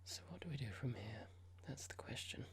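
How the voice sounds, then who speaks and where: A performer playing a horrible, condescending young corporate boss in an ASMR roleplay, speaking in a breathy whisper with a measured, pompous, formal manner. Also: medium pitch, animated, exaggerated voice. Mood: condescending, self-satisfied. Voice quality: breathy, gravelly whisper with clicks.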